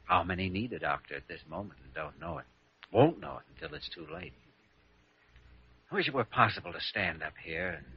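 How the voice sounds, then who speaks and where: An earnest young American doctor in an old radio drama. His voice sits fairly low and he speaks in a measured, idealistic way. The broadcast sound is dull. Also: breathy edge, full character voice. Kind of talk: 1940s radio drama acting.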